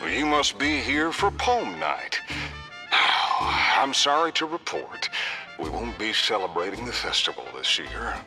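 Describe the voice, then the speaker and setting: A man's voice: fairly low pitch, huge pitch swings, unhurried, sharp tone, faint music behind, full character voice. A man voicing an apologetic town sheriff in a video game, formal and theatrical with some hesitation. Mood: woeful, indignant.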